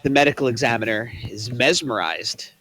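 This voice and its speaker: A man's voice: medium pitch, normal speed, a crowd in the background. A man reading stage directions at a table read, plain and matter-of-fact. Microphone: online voice call.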